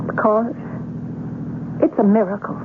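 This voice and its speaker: A woman's voice: medium pitch, measured pace, close to an everyday voice. A woman playing an anxious, tender traveler in a 1970s radio drama, emotional and insistent, given to exclaiming. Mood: awed, stubborn. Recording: tinny, noisy old radio broadcast.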